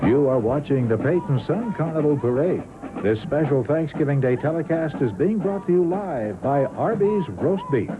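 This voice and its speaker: A man reading a slow TV station announcement and sponsor credit. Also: on the high side, animated, narrow, tinny audio, music in the background. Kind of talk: TV station ident voice-over.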